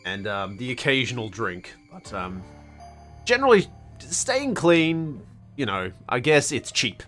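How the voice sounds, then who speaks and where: A man's voice: mid-range voice, big pitch swings, unhurried, fairly bright tone, soft background music. A young Australian man casually commenting on a game he is streaming, with wry, self-mocking asides and a slightly strained voice. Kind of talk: casual let's play commentary.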